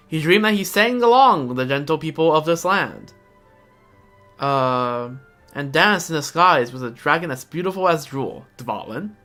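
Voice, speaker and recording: A man with a bright, forward voice comments on a game live. English is his second language, and his talk is casual and halting, with some music behind it. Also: on the high side, lively intonation. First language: not English. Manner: halting, casual, reacting aloud. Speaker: game streamer. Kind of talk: live game stream commentary, reading lore aloud.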